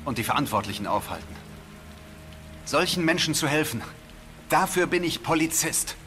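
An actor playing an earnest, idealistic young policeman in an audio drama, speaking in a calm and polite manner. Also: on the high side, moderate pace, faint music behind. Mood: resolute. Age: young adult.

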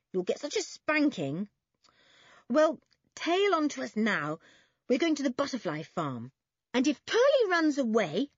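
A woman's voice: medium pitch, husky, dry recording, muffled recording. A woman voicing a stern, cheeky schoolboy who scolds and teases in a storybook reading. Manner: scolding, teasing.

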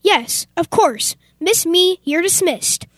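A woman's voice: extremely high pitch, expressive, slow, a bit bright, dry recording, studio quality, exaggerated voice. A young woman playing a fussy, easily irritated head of a household in a comic radio play, speaking formally. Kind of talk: comic old-time radio theater acting.

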